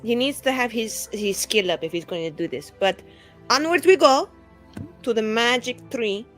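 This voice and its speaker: A woman chatting casually as a tabletop game player, playful, expressive and dramatic. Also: on the high side, moderate pace, faint music behind.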